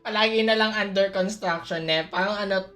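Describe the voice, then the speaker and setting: A Filipino woman doing casual, expressive live game commentary, slipping between languages in a playful way. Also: medium pitch, quick pace, soft background music. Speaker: game streamer.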